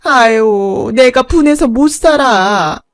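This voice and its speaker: A woman voicing a shameless, nagging elderly stepmother-in-law who scolds loudly. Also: fairly high pitch, lively intonation, normal speed, natural voice. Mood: wailing. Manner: nagging, wailing, self-pitying.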